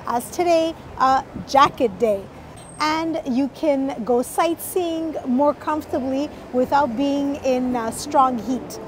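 High-voiced woman vlogging outdoors. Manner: chatty, upbeat, explanatory. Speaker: travel vlogger. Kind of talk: casual travel vlog to camera. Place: outdoors on city streets and a seaside park walkway. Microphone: phone held at arm's length.

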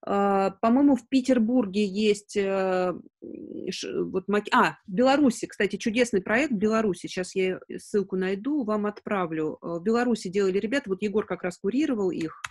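A woman in her forties talks informally as the host of an online session. Her voice sits at a medium pitch. She feels her way through answers, often pausing to think aloud, recorded indoors on a video call. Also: a little mellow. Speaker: webinar host. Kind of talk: informal webinar talk, answering questions. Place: indoors, room at home. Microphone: video call on a laptop.

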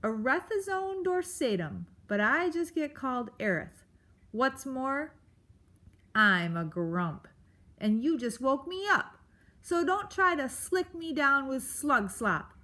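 A crotchety, blustering beast from a children's storybook, played by a woman reader. He snarls and snaps rudely in a raised, high-pitched bark. His temper is brash and impatient. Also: animated, slow pace, bright, forceful tone, exaggerated voice. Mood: irritated grumpy. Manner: snarling, snapping, brash and insulting. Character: grumpy old male porcupine-like beast, blustering, crotchety.

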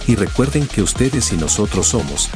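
A man's voice: fairly low pitch, very animated, normal speed. A man narrating a mocking commentary voice-over, scornful and sarcastic, with music behind. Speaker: channel narrator.

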